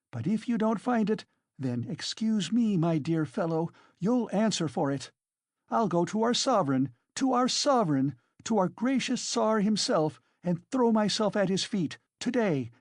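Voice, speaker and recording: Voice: mid-range voice, no room sound, clean studio sound, pitched above the performer's own voice. A reader voicing a frantic, defiant widow who shrieks and accuses in hysterical fury, as an audiobook character.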